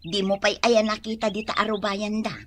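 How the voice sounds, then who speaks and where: A woman's voice: mid-range voice, flat delivery, normal speed, a bit bright, a little hiss or hum, natural voice. An actress playing a nosy woman neighbor in a radio drama, confiding gossip and drawing out key words. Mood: gossipy.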